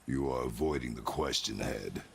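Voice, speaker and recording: A male actor playing a stern, terse warrior father in a video game, with a gravelly, rumbling voice. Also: normal speed, noisy background. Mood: terse, suspicious. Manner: terse, commanding, formal.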